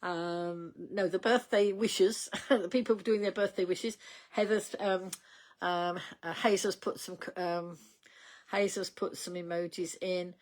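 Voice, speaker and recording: An older woman hosting a casual livestream, rambling in a chatty way with many ums and small laughs, her voice going slightly croaky. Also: lowish voice, steady tone, unhurried. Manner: chatty, rambling, reads out viewer comments, frequent ums and laughs. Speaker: livestream host.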